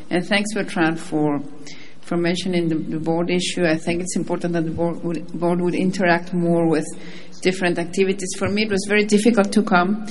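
A woman's voice: lowish voice, slight background noise. A native Spanish-speaking woman with a Spanish accent, giving informal remarks as a panelist. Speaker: panelist, government delegate.

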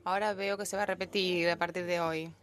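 A woman with a Rioplatense Spanish accent doing simultaneous interpretation, relaying speech in a steady, even way. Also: on the low side, brisk.

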